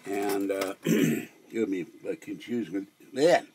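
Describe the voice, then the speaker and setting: An older man with a hoarse voice, chatting on a live stream from a small room at home, meandering and halting with many fillers. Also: lowish voice, a little mellow, a little hiss or hum. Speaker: livestreamer. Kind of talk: rambling live stream chat.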